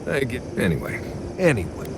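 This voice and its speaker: A man's voice: medium pitch, huge pitch swings, a little fast. An actor playing an offhand, restless man in a fantasy video game. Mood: dismissive. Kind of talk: video game dialogue acting. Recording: clear background noise.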